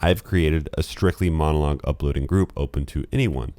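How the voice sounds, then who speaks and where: A man with a slightly nasal voice, calmly explaining his vocal mixing chain in a casual screen-recorded tutorial, on a close studio condenser mic. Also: rumbling low, a little fast, no echo. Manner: relaxed, explanatory, casual with fillers. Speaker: audio engineer teaching voice mixing. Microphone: studio condenser microphone close up.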